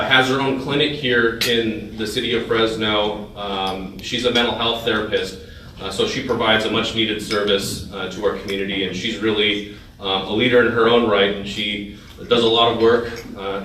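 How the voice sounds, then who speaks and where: A man's voice: on the low side, bright, forceful tone, slight background noise. A man in his thirties, raised in California's Central Valley, whose first language was Thai, giving a hesitant talk to a club with many fillers and restarts. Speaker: guest speaker. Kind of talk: informal speech to a club. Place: large dining hall. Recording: echoey hall, mic far off.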